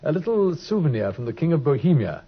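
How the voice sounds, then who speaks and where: A man's voice: fairly high pitch, very animated, fast. An actor playing a brilliant, aloof male detective in a vintage radio drama, drawling and teasing as he lectures. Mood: wry. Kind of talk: 1950s radio drama acting. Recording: dull, husky old radio recording.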